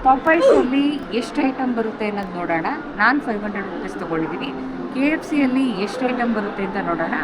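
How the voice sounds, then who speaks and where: A woman's voice: medium pitch, lively intonation, fast talker. A middle-aged woman presenting a food challenge vlog in a casual style. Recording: loud music and crowd noise behind.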